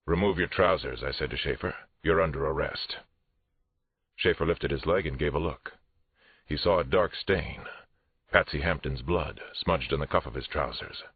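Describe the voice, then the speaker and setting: A man's voice: moderate pace, exaggerated voice. A man reading a first-person audiobook thriller as a police detective, tense, restrained and dramatic. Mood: grim. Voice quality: very deep, husky. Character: male police detective narrator, angry but controlled. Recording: roomy, muffled phone-like audio.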